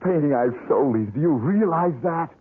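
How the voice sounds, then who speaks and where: An actor playing a struggling young painter in a 1970s radio drama, talking eagerly with quick bursts of enthusiasm and a self-mocking streak. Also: male voice, a little high, expressive, husky. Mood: cheerful. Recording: narrow lo-fi radio broadcast.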